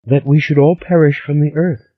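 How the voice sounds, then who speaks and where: A man's voice: a little high, fairly even intonation, normal speed, voice pushed up, close to an everyday voice. A man voicing a sulky, melodramatic young woman niece in an audiobook. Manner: dramatic, sighing. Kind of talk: audiobook character voice. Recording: slightly dull lo-fi recording.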